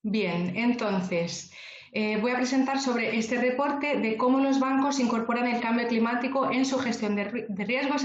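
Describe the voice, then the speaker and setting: Woman from spain walking through a report, higher voice, echoey dull call audio. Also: a little hiss or hum. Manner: orderly, explanatory, some fillers. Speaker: webinar presenter. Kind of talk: presenting a report. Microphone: video call.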